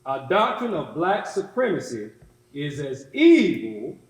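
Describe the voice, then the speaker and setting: An earnest civil rights era preacher played by a man delivers a stage sermon in deliberate, oratorical phrases. His voice sits fairly high for a man and rings in an echoey hall. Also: big pitch swings. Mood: solemn, exhorting. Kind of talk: staged sermon in readers theatre.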